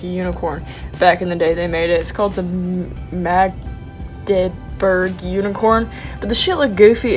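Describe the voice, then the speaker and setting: A young woman in her twenties, sounding congested and sniffly, chatting casually and playfully with lots of slang while showing her sketchbook. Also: medium pitch. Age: young adult. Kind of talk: casual vlog chat.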